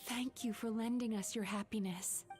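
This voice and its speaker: A woman voicing a calm, knowing young dream healer who explains things in a measured way in a video game. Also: on the high side, music in the background, lightly acted. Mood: gentle.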